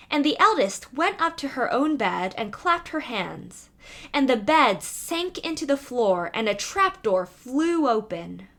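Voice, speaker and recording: A woman's voice: a little high, a bit bright. A woman reading a fairy tale aloud as a storybook narrator.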